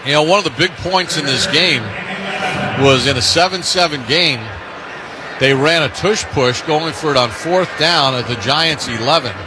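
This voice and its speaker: A man's voice: fairly high pitch, moderate pace. A man calling live football on the radio as play-by-play announcer, in clipped running description of the action. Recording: loud stadium crowd noise behind. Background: loud crowd and stadium noise.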